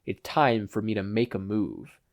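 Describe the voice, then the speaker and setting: A man's voice: lowish voice, steady tone, a little slow. An imperious male villain from a superhero story , put on by a male narrator. He is curt and sinister, and speaks as one used to being obeyed. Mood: commanding.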